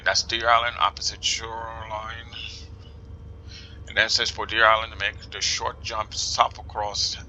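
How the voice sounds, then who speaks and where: A man's voice: fairly low pitch, gravelly, sharp tone, a little hiss or hum, poor audio. A man with a New Jersey accent giving casual commentary while playing a flight sim, musing aloud and slipping into whispers. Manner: musing aloud, reading instructions slowly, often drops to a whisper.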